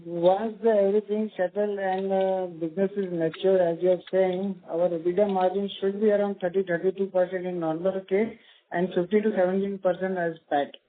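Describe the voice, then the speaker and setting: A man with an Indian accent gives formal, halting answers as a finance executive on an earnings call. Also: high-pitched, flat delivery. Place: conference call. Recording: tinny phone-like conference line.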